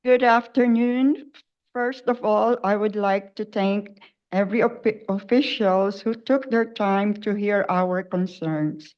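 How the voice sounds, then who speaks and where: A woman's voice: medium pitch, slow pace. An older woman, a senior tenant, giving a formal prepared public comment at a council meeting. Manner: formal, careful, reading a statement. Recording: muffled lo-fi meeting audio.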